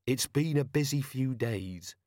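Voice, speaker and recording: A man voicing a gentle, earnest young watchman, polite and soft-spoken. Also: mid-range voice, lively intonation, measured pace, dry recording, studio quality, pitched above the performer's own voice, natural voice.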